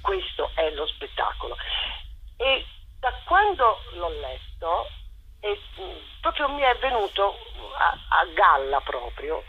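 A woman directing a play, talking in a radio interview in an animated, rambling way full of restarts. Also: on the low side, slow, phone-quality sound, slight background noise. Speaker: theatre director.

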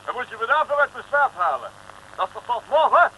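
An actor playing an irascible, dismissive colonel in a 1960s radio comedy serial, blustering and curt. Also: male voice, very high-pitched, sing-song, quick pace, bright, forceful tone, heavily acted. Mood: irritated, dismissive. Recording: noisy old radio recording.

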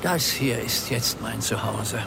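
Dignified sixtyish undertaker, mid-pitched, unctuous and formal, radio drama, heavy street noise. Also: male voice, slow, gravelly. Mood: guarded, weary. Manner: unctuous, solemn, formal, funeral-parlour politeness. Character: small, dignified undertaker about sixty, mild and evasive. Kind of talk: radio play dialogue.

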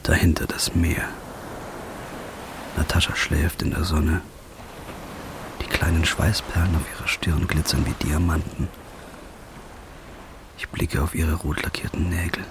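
A man playing a weary, haunted first-person narrator in a radio drama, with a dark, brooding delivery. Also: a bass voice, flat delivery, measured pace, pauses often, noisy background. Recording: music underneath.

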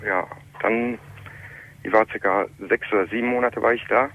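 A man in his late thirties from Iran, calling in to a radio talk show and telling his story in halting, German. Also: on the low side, steady tone. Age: 30s. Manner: halting, plain non-native German. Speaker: caller. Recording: muffled telephone line.

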